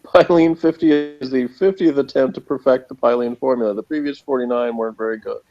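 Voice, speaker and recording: A man with a medium-pitched voice in a slightly dull, lo-fi recording. He hosts a relaxed review chat, reading from notes and adding his own chuckling remarks. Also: fairly quick. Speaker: review show host.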